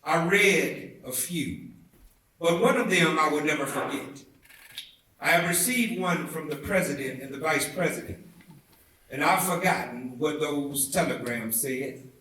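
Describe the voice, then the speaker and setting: A man giving a dramatic, oratorical reading of a speech, with deliberate, measured phrasing and emphatic swells. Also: fairly high pitch, sharp tone. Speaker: reader at a commemorative event. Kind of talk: dramatic reading of a historic civil rights speech. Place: indoor theatre event, heard from a distance. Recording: echoey indoor hall, far from the mic.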